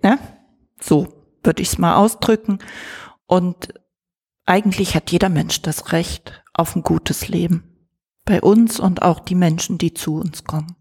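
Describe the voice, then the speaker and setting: A woman's voice: on the low side, unhurried, a little mellow, husky. An activist woman answering interview questions in an earnest, conversational way. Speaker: refugee support activist.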